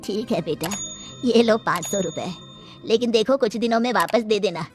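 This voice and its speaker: A woman's voice: medium pitch, normal speed, darkish tone, faint music behind, close to an everyday voice. A woman voicing a kindly, long-suffering old female shopkeeper in a cartoon story. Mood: resigned.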